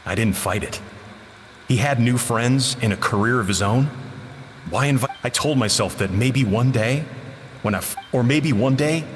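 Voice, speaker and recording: A man's voice: medium pitch, normal speed, long reverb tail, a little hiss or hum, close to an everyday voice. An actor playing a regretful man confessing his past, sombre and sighing.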